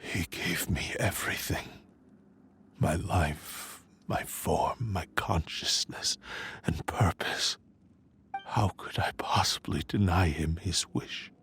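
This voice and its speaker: Deep, slow, despairing sword spirit. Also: male voice, a bit bright, whispered, heavily acted. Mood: sorrowful, bitter. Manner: slow, grave, archaic formal speech. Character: living sword spirit in human form, proud, despairing man. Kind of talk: video game voice acting.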